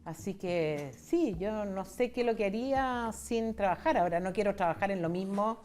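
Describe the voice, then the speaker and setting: A woman's voice: mid-range voice, moderate pace, a little mellow, soft background music. A Chilean woman in her sixties telling personal stories as an interview guest, in a candid, conversational way. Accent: Chilean Spanish. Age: 60s. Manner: candid, conversational, retells dialogue. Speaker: interview guest, foundation founder.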